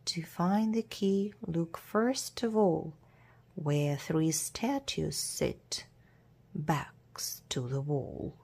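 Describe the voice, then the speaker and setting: Very slow, husky woman reading a storybook. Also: sits low, lively intonation, a little mellow. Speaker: storybook reader. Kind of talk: slow scripted storybook narration for children.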